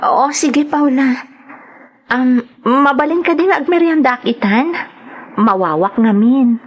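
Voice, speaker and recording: A woman's voice: sits high, measured pace, dull audio. A woman with an Ilocano accent playing a naive, trusting young woman in an audio drama, hesitant and questioning. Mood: puzzled, pleading. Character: naive, trusting young woman newly arrived seeking work. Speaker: voice actor in a radio-style drama.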